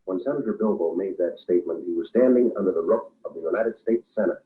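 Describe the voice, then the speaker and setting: A man's voice: low-pitched, fast, close to an everyday voice. A man performs a grave radio-drama narrator from the 1940s, delivering an accusing exposé with solemn, theatrical irony. The recording is tinny and narrow, like old radio.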